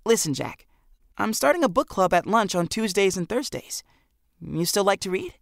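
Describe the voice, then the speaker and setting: Man voicing a polite, lively teenage girl. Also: sits high, moderate pace, creaky, no echo, clean studio sound, pitched above the performer's own voice, heavily acted. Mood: inviting. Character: friendly, composed bookish teenage girl.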